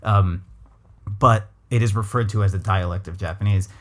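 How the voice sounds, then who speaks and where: Give me a laid-back West Coast American man hosting a chat podcast, low voice, musing and hedging. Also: steady tone, normal speed. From Los Angeles, USA. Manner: thoughtful, full of restarts and hedges. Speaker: podcast host. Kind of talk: casual conversational interview.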